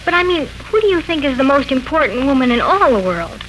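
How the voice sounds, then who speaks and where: A woman acting a lively, inquisitive young woman, chatty and playfully pressing, in 1940s radio drama. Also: sits high, brisk. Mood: playful curiosity. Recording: noisy 1940s radio broadcast recording.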